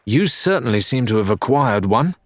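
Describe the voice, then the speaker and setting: A man's voice: medium pitch, animated, normal speed, no echo, lightly acted. A man voicing a determined young woman sailor in an audiobook, plain-spoken and defiant. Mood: pointed. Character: determined young woman newcomer seeking pirate life.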